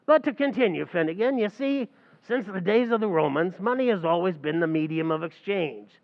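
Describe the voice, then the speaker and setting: A man's voice: sits high, lively intonation, a little fast, gravelly. A man playing a brash, know-it-all bartender, mangling big words in an old-time radio comedy recreation. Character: fast-talking, know-it-all New York bartender Archie. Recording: dull, lo-fi sound.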